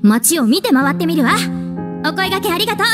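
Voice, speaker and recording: A woman's voice: very high voice, big pitch swings, normal speed. An actress playing a polite, organised young woman of a theatre troupe in a video game, explaining things in order. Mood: courteous. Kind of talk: Japanese video game voice acting. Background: music.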